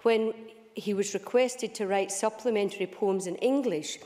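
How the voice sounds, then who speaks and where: A woman's voice: medium pitch, moderate pace, mellow. A Scottish woman giving a formal parliamentary speech, full of Scots words. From Scotland. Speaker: member of parliament. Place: large debating chamber. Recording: long reverb of a debating chamber.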